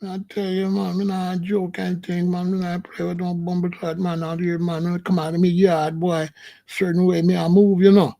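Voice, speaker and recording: A man putting on a gravelly swaggering Jamaican rasta. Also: high voice, level tone, normal speed, warm tone. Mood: defiant. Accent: put-on Jamaican patois. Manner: boastful, repetitive tags, tough talk. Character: swaggering tough male rasta, boastful, no-nonsense. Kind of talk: comic impression.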